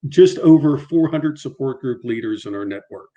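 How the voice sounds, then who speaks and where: Man who stutters, halting speech. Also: medium pitch, expressive, warm tone, lo-fi sound. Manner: halting, frequent repetitions and restarts, mild stutter. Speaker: guest. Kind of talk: casual interview on a video call.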